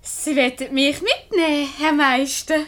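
A woman's voice: high-pitched, a little slow, a bit bright. An actress playing a perky, flirtatious married woman in a Swiss German radio comedy, with a teasing manner. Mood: coquettish. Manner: coquettish, teasing. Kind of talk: radio comedy acting in dialect.

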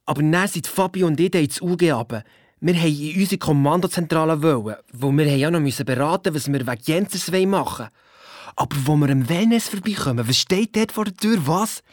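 A woman's voice: sits low, no room sound, studio quality, close to an everyday voice. A woman playing a young Swiss boy detective with a Swiss German accent, retelling events colloquially in a children's radio play. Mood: earnest. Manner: colloquial, halting excited retelling. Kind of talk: first-person storytelling in a children's radio play.

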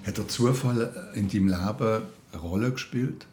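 A man speaking casually as an interviewer in a relaxed conversation. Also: lowish voice, moderate pace. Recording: roomy sound, loud music behind.

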